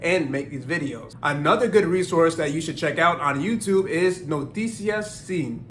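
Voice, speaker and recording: A young man with a slightly raised voice talks through language-learning resources in a friendly, explanatory way. He mixes short Spanish phrases into his English. A faint rumble hums under the recording. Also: fairly bright tone. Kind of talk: scripted tutorial narration.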